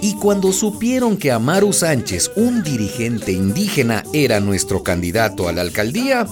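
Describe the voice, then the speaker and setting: A woman narrating a scripted radio drama in an expressive storytelling style. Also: very deep voice, moderate pace, music in the background.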